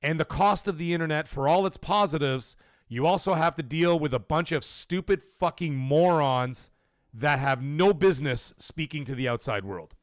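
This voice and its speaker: A man's voice: on the high side, moderate pace, no room sound, clean studio sound. A middle-aged man hosting a gaming livestream, talking casually and rambling, swearing freely as he goes.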